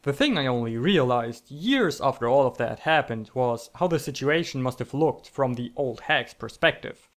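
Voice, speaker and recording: A young man with a medium-pitched voice tells comic stories from a script. He treats small events as grand epics with theatrical wording, and steps aside to poke fun at himself. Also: lively intonation, normal speed, few pauses. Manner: mock-epic, theatrical, self-deprecating asides. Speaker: online storyteller. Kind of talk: comic scripted storytelling narration.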